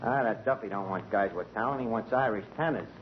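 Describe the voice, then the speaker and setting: An actor playing a flustered, wisecracking New York tavern manager in a 1940s radio comedy, slangy and grumbling. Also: male voice, fairly low pitch, fast talker, lightly acted. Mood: wry, casual. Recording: noisy, muffled 1940s radio broadcast.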